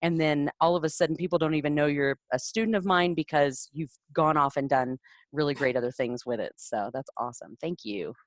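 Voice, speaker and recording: A woman with a low-pitched voice hosts a casual conversation with her contributors. She sounds encouraging and affirms often. The sound is close and dry. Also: fairly quick, no echo. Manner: encouraging, warm praise with many affirming "mm-hmm"s. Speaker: author and lettering teacher hosting interviews. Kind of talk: casual chat.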